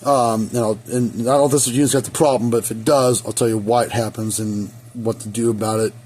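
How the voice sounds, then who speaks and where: A hoarse man giving a casual, rambling how-to repair demonstration in his hot workshop, with lots of fillers. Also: mid-range voice, steady tone, brisk, a little mellow. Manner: rambling, folksy, many fillers. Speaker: fence energizer repair technician. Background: faint hum of a small fan.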